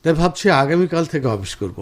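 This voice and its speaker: A man's voice: on the high side, animated, a little fast, lightly acted. A man acting a caring, reassuring husband with a professional job in a Bengali radio drama. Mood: earnest.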